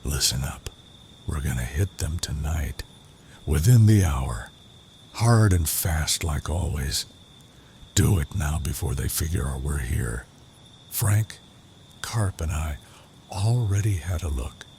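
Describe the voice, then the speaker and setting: Man acting as menacing gang leader, extremely low pitch, gravelly fry, slow commanding delivery, audio comic, noisy cricket-filled night backdrop. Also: animated, a little mellow, exaggerated voice. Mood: grim, commanding. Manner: curt, commanding. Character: gruff, menacing male leader of an armed raider gang.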